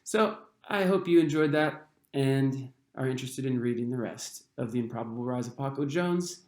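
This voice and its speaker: A man, the author, reading his own young adult novel aloud with expressive storytelling. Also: mid-range voice, normal speed, reverberant. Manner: expressive storytelling, acting out the dialogue.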